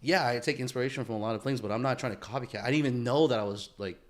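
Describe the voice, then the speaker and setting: A man's voice: medium pitch, racing. Rambling, slangy man chatting on a podcast. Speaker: podcast co-host. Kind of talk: casual podcast chat.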